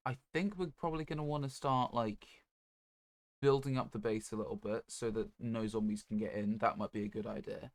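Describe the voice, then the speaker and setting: A man chatting casually on a gaming livestream in a low-key, rambling, hesitant way. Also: no room sound. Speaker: video game streamer.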